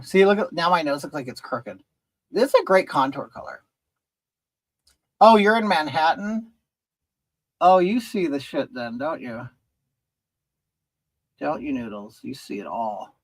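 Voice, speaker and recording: A man's voice: a little high, expressive, takes it slowly, long pauses, rough recording. A man from rural California hosting a casual stream, chatting with viewers and rambling through hesitant, restarted sentences while doing makeup. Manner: rambling and hesitant, many restarts, reading and answering chat. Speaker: livestream host.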